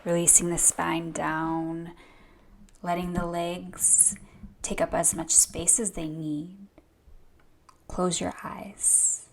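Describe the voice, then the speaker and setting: A woman yoga instructor leading a gentle guided meditation in a soothing, hushed, unhurried way, with a soft voice that turns breathy at times. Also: fairly low pitch, level tone, slight background noise. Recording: soft music behind the voice. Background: music.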